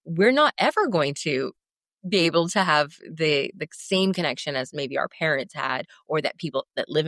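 A woman's voice: low voice, a bit bright, no room sound, clean studio sound. A woman in her forties, born in Afghanistan and raised elsewhere, answering interview questions in a reflective, thoughtful way. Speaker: guest.

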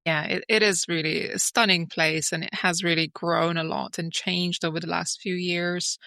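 A woman's voice: lowish voice, level tone, moderate pace, bright, forceful tone, dry recording, studio quality. A woman from Lithuania speaking as a podcast guest, telling her own story in an earnest, emphatic, conversational way. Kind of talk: conversational interview, personal storytelling.

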